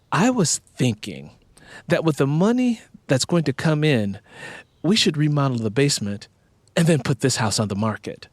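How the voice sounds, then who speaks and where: A man's voice: on the high side, no echo. A man voicing an enthusiastic wife who coaxes and persuades in audiobook dialogue. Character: adult wife, eager, persuasive, house-proud real estate agent.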